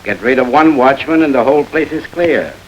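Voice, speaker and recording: An actor playing a tough, slangy gang thug who talks back sarcastically, in a 1930s crime film. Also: male voice, medium pitch, animated, brisk, a little hiss or hum, close to an everyday voice, poor audio.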